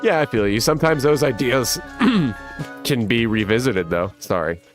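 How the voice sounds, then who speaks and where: A man in his thirties with a rough smoker's voice, casually chatting on a livestream and reading viewer comments, with lots of laughs. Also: medium pitch, expressive, a little slow, soft background music. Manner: laid-back and rambling, laughs often. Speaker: livestream host.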